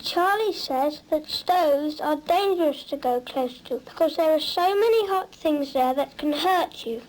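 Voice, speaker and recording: A woman's voice: high voice, darkish tone, poor audio. A woman voicing an earnest little boy who tells simple cautionary tales in a cartoon safety film. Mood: earnest warning. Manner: slow, simple, childlike storytelling.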